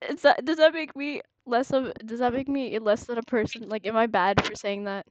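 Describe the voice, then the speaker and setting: A young woman hosting a casual fan chat on a voice call, bantering excitably and full of opinions. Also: a little high, moderate pace, a little hiss or hum. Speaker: host of a fan ship-rating stream. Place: indoors on a Discord call. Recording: dull, muffled voice-call audio.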